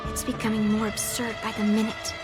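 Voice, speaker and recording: A woman's voice: medium pitch, monotone, brisk, lightly acted. A woman voicing a cocky young female sword master in a video game, smug and self-assured, with music behind her. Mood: nonchalant.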